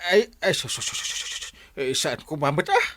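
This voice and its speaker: Male actor: excitable village captain, Ilocano accent, sputtering, swooping pitch, bright edge, broad radio comedy. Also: normal speed, full character voice. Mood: flustered. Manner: sputtering, repeats hesitant syllables.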